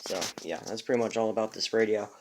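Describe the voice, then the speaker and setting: A man's voice: on the low side, steady tone, fast talker, slight background noise. A man casually rambles through a show-and-tell of his radios at home, full of fillers and restarts, recorded on a phone. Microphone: phone camera.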